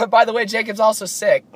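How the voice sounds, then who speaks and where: A man hosting a podcast while driving, his voice raspy from being sick, chatting casually and rambling with lots of fillers. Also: sits high. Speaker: podcast host. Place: inside a moving car. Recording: road noise in a car.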